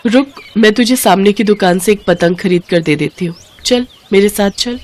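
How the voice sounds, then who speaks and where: A woman plays a poor housemaid from a storybook. She is humble, gentle and deferential, with a middle-pitched motherly voice. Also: normal speed, close to an everyday voice. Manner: meek, deferential.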